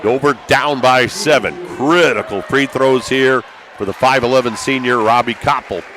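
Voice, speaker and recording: An American man doing live basketball play-by-play as a broadcaster, excitable and raising his voice with the action. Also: mid-range voice, moderate pace, a faint crowd. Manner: excitable, calls out action with raised voice. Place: courtside in high school gymnasium. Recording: live gym broadcast with background noise.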